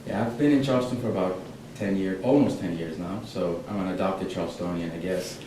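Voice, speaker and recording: A man's voice: sits low, a little fast, warm tone. A man from Greece, a native Greek speaker, chatting in English as a guest in a casual live interview. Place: large echoey room. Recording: echoey room, distant mic, clear noise.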